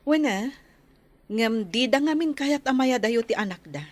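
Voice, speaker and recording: A woman's voice: a little high, lively intonation, unhurried. A woman playing a caring, concerned village nurse in an Ilocano radio drama.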